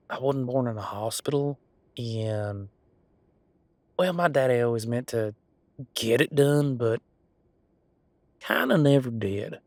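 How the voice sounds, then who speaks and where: A man's voice: medium pitch, no echo. A man voicing a folksy, earnest young head of security who talks hesitantly and rambles in an audio roleplay drama.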